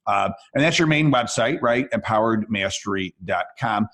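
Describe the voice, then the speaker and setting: Casual, appreciative male podcast host with a fairly low voice. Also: expressive, moderate pace, fairly bright tone. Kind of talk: interview conversation.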